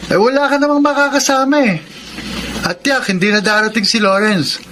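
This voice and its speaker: A sensible, nagging young Filipino student. His voice is high and bright, persuading in radio drama style. There is faint ambient noise. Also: a little fast.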